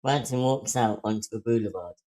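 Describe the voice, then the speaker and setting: A man's voice: lowish voice, fairly quick, a loud crowd. A man reading out stage directions at an online screenplay table read.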